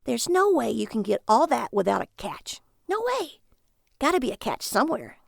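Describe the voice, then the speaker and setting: Brief: impulsive hot-tempered wife, sharp then sugary, lively high pitch, read by a woman. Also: normal speed, gravelly, no echo, clean studio sound, full character voice. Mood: suspicious. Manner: sharp, mood swings from snappy to sweet.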